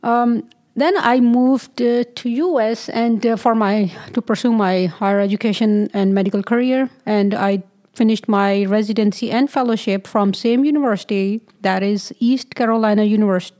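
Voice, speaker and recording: A woman's voice: a little high, steady tone, moderate pace, mellow. A woman from Pakistan, a physician guest in a studio interview, speaking hesitantly with many fillers and frequent laughs. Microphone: studio mic.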